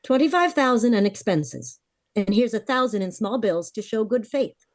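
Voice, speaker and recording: A woman's voice: fairly high pitch, very animated, normal speed, dry recording. A woman playing a determined, earnest sister in a melodramatic 1940s-style radio drama. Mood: insistent. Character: desperate, determined young sister pleading for her brother.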